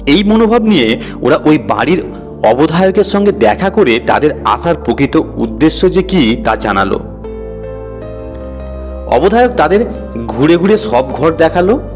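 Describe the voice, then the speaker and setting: A man reads a scripted horror story as a dramatic narrator. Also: a little high. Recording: music bed behind the voice. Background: music.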